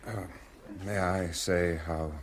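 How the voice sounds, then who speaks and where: A low, slow, deferential manservant. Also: male voice, fairly even intonation, a little hiss or hum. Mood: obsequious. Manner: deferential, formal. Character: deferential, servile manservant, smooth and formal. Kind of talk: radio play acting.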